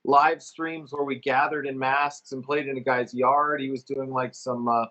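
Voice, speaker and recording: A man's voice: medium pitch, level tone, moderate pace, pushed and bright, muffled recording. A middle-aged man talking casually as a musician guest, telling his story in a conversational way.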